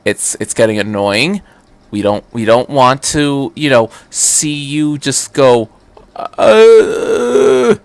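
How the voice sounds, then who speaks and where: A man's voice: medium pitch, steady tone, dry recording. Casting: male amateur narrator, mid-range voice, informal, stammering slightly, drifts from reading into chatty commentary. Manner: halting, stammering, repeats himself. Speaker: amateur fan fiction reader. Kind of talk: story reading with casual rambling asides.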